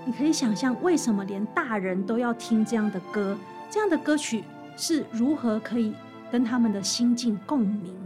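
A woman's voice: a little high, expressive, normal speed, few pauses, a little mellow, faint music behind. A woman with a Taiwanese Mandarin accent explaining things conversationally as an interview guest. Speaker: author guest.

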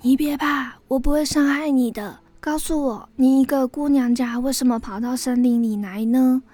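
A woman voicing a small talking snake in a storybook tale, grateful and protective, soft-spoken and earnest. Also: high voice, steady tone, moderate pace, no room sound, voice pushed up. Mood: gentle, reassuring.

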